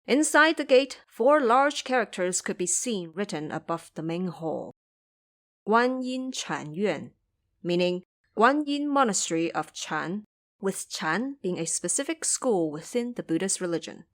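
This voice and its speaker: A female storyteller narrates an audio drama. Her delivery is expressive and careful, with lively rises and falls in pitch around a medium register. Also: moderate pace.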